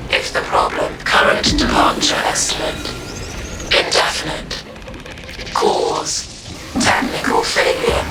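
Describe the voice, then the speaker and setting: An actor playing a mechanical announcer who reads out faults and orders in clipped, bureaucratic phrases with a deep gravelly monotone. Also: male voice, takes it slowly, pauses often, full character voice. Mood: flat. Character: rumbling low machine announcer, mechanical and flat. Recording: echoey and distant, under loud game music.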